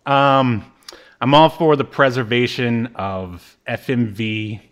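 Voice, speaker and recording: A man's voice: mid-range voice, fairly even intonation, slow pace, fairly bright tone. A man hosting a podcast in a casual, wry, joking way, reviewing games conversationally. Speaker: podcast host. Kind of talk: casual chat, game review.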